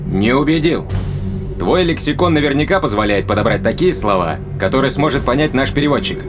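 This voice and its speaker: An actor playing a ruthless, commanding spaceship owner in an audio drama, curt and menacing in manner. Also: male voice, mid-range voice, lively intonation, a little fast, music in the background, natural voice. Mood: cold, threatening.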